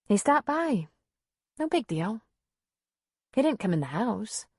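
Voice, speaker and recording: A woman's voice: a little high, sing-song, slow, husky, no room sound, clean studio sound, full character voice. A woman narrator voicing an embattled pregnant mother, defiant and frightened, in an emotional, pleading manner. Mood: defensive.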